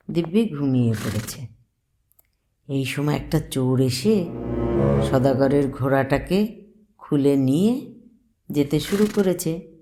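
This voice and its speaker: A woman's voice: rumbling low, dark tone. A woman telling a story to children, explaining simply and putting questions to her young listeners.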